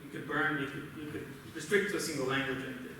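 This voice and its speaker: A man with a Brazilian accent, a native Portuguese speaker, gives a technical conference lecture in a halting, explanatory way. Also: fairly high pitch, fairly even intonation, sharp tone. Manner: halting, explanatory, often checks with "right?". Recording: roomy, noisy conference hall, distant mic.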